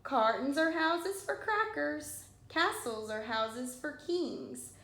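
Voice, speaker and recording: Slow, higher-voiced woman reading to children. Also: animated, a bit bright. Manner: deliberate, singsong storybook phrasing with playful asides. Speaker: first-grade teacher reading aloud. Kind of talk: read-aloud of a rhyming picture book for young children. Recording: roomy echo with some background noise.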